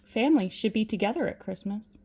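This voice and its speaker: A woman's voice: medium pitch, expressive, fairly quick, a little mellow. A woman voicing a cheerful, hearty young nephew, eager and coaxing, in a storybook reading. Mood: cheerful, inviting.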